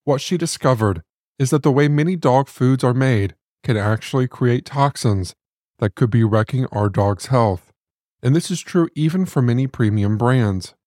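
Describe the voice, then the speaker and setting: A man's voice: mid-range voice, normal speed, darkish tone, no room sound. A man reading scripted podcast narration as the host, close to the microphone. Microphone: close studio microphone. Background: music.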